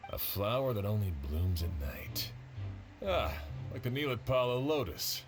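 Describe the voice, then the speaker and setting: A man playing an earnest male official in an anime-style video game, speaking in a measured way. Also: medium pitch, expressive, over music, close to an everyday voice. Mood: pondering. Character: earnest male official investigating a crime with helpers.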